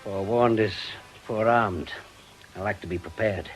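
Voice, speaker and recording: A British actor playing a fearful, stubborn, obsessive writer in a radio drama, jittery and urgent. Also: male voice, on the low side, normal speed, faint music behind, natural voice. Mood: wary, stubborn. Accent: British English.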